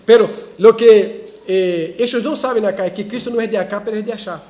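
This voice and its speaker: A man preaching a verse-by-verse sermon in a church, animated, with ironic asides and frequent restarts. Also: high voice, brisk. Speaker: pastor. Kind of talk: expository sermon.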